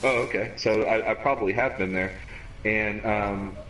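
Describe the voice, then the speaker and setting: Low-voiced man in his thirties, distant and roomy. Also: steady tone, normal speed, a bit bright, soft background music. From Cayman Islands, raised in America. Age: 30s. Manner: rambling, repeats words when thinking aloud. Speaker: stream host. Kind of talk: casual gaming chat. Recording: roomy, distant mic with faint noise.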